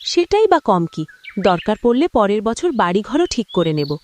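A woman's voice: mid-range voice, big pitch swings, moderate pace, a little mellow, slight background noise, close to an everyday voice. A woman voicing a supportive, practical, resolute farmer's wife in a cartoon, earnest and encouraging. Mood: hopeful. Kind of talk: cartoon voice acting.

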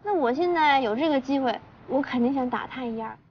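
An actress plays an eager young woman with a high voice. She is earnest and persistent, always persuading, in naturalistic TV drama style. A little noise sits behind her. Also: a little fast, lo-fi sound, lightly acted. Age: 20s. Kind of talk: naturalistic screen drama acting.

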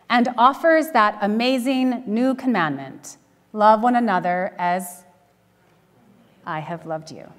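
A middle-aged woman gives a sermon in a conversational way. Her humour is dry and she places her pauses deliberately. The voice sits at an average pitch, with long church reverb around it. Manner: wry, dryly humorous, with deliberate pauses. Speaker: minister preaching. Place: church sanctuary at a pulpit.

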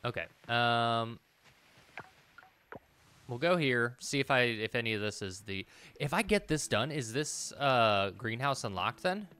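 A man's voice: medium pitch, animated, measured pace, pushed and bright. A man in his thirties giving casual let's play commentary, thinking aloud and muttering to himself as he plays. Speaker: gaming video host.

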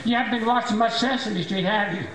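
A man in his seventies doing stand-up comedy on a small tavern stage with a handheld mic. He has a squeaky, high voice after voice surgery and tells dry, self-mocking jokes. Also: fast talker, heavy background noise. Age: 70s. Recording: echoey bar room with crowd.

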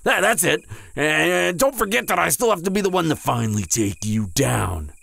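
A man performs a proud male vampire hunter in an intimate audio roleplay, hurt and stammering through the pain. His voice is raspy and creaky, recorded close and dry. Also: fairly high pitch, very animated, pushed and bright, dry recording, heavily acted. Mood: panicked, urgent. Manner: stammering, halting, breathy sighs and groans. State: in pain, strained.

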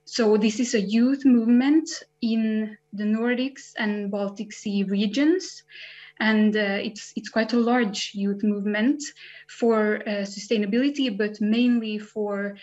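A young woman in her twenties from Finland, a youth activist, talking in a friendly, slightly halting way on a video call. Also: mid-range voice, steady tone, darkish tone. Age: 20s. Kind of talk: webinar talk. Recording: muffled video call audio.

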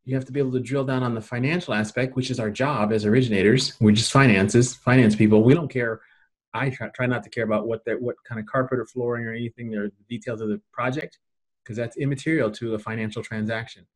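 A middle-aged American man with a medium-pitched voice presents a training webinar. He explains things informally and colloquially, in a plain-spoken manner. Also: fairly even intonation, fast talker, poor audio.

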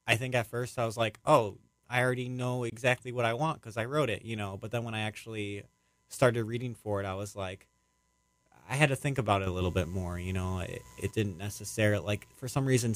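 A man's voice: lowish voice, fairly bright tone, no echo. A young man answering interview questions as a playwright, casually, in a hesitant, rambling and reflective way.

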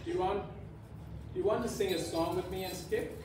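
A man's voice: sits high, steady tone, moderate pace, close to an everyday voice. A man playing a polite, agreeable Tin Man in an amateur pantomime, reading his lines plainly. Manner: plain script-read delivery. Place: community room with seated audience, far camera. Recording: distant, echoey, very noisy hall recording.

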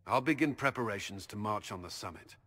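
A steady, duty-bound army commander in a video game, brisk and practical in how he gives orders. His voice sits fairly low, with a long reverb behind it. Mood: firm.

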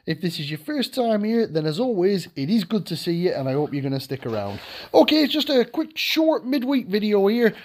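Man, vlog host, friendly chatty delivery, lively pitch. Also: fairly quick. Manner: friendly, chatty, addresses viewers. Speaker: channel host. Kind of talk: casual vlog intro.

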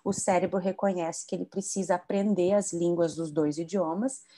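A woman's voice: fairly low pitch, fairly even intonation, moderate pace, dark tone. A woman from southern Brazil, an educator and author, explaining bilingualism on a video call and often adding tag questions. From southern Brazil (Rio Grande do Sul).

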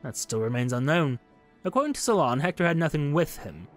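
A man's voice: on the high side, sing-song, brisk, faint music behind. A man reading as a nervous, evasive tribunal witness. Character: nervous, self-serving male witness at a revolutionary tribunal.

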